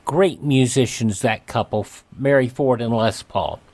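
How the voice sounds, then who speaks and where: A man's voice: medium pitch, unhurried, a little mellow. An American man hosting a radio program, reading scripted narration with brief off-the-cuff asides. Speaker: radio show host.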